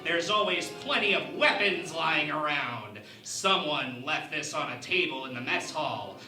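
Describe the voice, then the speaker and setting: A man playing a haughty, scheming alien ambassador in a parody sci-fi radio play, speaking in a theatrical, deliberate manner. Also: fairly high pitch, pushed and bright, echoey room, heavily acted, rough recording. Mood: menacing.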